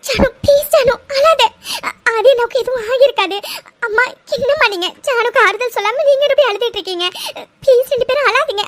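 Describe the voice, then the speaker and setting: A woman's voice: extremely high pitch, a little fast, background noise. A woman voicing a young sister, pleading and reassuring, in a melodramatic audio serial. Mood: consoling. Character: young sister comforting her crying family.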